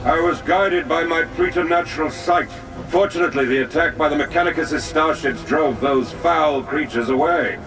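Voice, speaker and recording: An actor playing a calm, learned psychic battle-brother in a video game, measured and portentous, with music behind. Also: male voice, fairly high pitch, lightly acted. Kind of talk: dramatic video game acting. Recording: distant voice under music.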